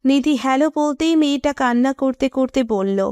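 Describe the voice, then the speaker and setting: Female narrator for romantic audio fiction, high pitch, dry close recording. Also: normal speed, darkish tone, clear, resonant tone, dry recording. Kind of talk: scripted romantic story narration.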